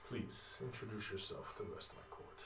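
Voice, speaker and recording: Man doing an aging giant king, weary, hushed and formal, roomy far-off sound. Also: fairly low pitch, steady tone, a little fast, pitched below the performer's own voice, natural voice. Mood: courtly. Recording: roomy and distant with faint noise.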